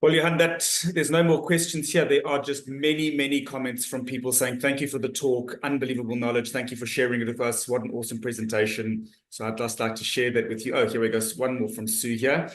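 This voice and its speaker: A man's voice: mid-range voice, little pitch movement, quick pace, hardly any pauses. A middle-aged South African man hosting an online talk, reading out audience questions in a friendly, loose way with frequent restarts. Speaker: host and moderator of a talk series. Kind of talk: moderating online Q&A. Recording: roomy echo on a video call.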